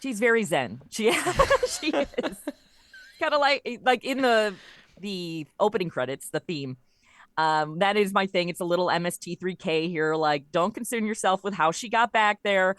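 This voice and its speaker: A woman speaking as an interview guest in casual chat, telling stories in an animated way and acting out quoted lines. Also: medium pitch, measured pace, no echo. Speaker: guest, writer of a comedy audio show.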